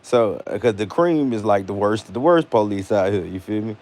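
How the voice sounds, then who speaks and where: An American man vlogging, telling a personal story casually with slangy, animated delivery and acted-out dialogue. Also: lowish voice, fairly quick. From United States.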